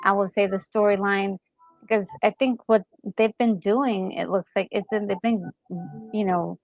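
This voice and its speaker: A woman's voice: medium pitch, fairly even intonation, a little slow, creaky, darkish tone, faint music behind, rough recording. A woman in casual, reflective chat as a gaming co-host, hesitating and repeating words as she gathers her thoughts. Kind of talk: casual chat reviewing a game story.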